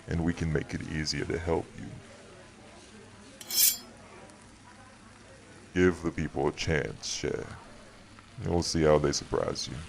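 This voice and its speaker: A man playing a courteous, protective man of authority in an audio roleplay, with a folksy, measured way of talking straight to the listener. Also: a bass voice, vocal fry, heavily acted. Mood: reassuring, earnest.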